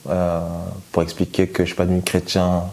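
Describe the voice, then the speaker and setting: A man's voice: sits low, monotone, brisk, a little mellow. A man born in France telling his personal testimony in a hesitant way, with many fillers and restarts. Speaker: guest giving testimony.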